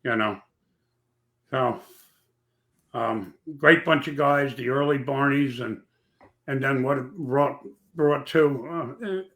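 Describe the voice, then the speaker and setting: A man with a raspy voice, a podcast guest telling rambling, folksy stories in casual chat. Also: medium pitch, slow, long pauses, fairly bright tone. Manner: rambling, folksy, with many fillers. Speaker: podcast guest, former track owner.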